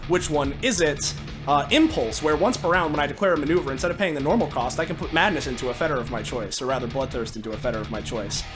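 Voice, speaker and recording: A man with a fairly high voice narrates his moves as a tabletop game player. He feels his way through phrases haltingly and casually, with clear music underneath. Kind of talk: improvised game narration and rules talk. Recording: loud music behind the voice.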